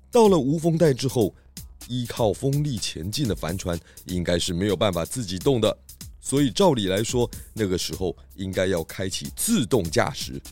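A man playing a composed, astute pig detective, questioning deliberately in a children's radio drama. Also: on the low side.

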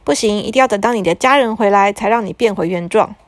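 A woman's voice: medium pitch, fast, dry recording, close to an everyday voice. A woman voicing a stern magician who lectures in a reproachful tone, with a Taiwanese Mandarin accent. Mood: scolding.

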